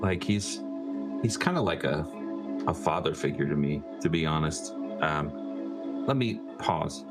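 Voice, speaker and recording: A man playing a tabletop roleplaying game, speaking informally and haltingly as he improvises. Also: a bass voice, lively intonation, loud music behind the voice.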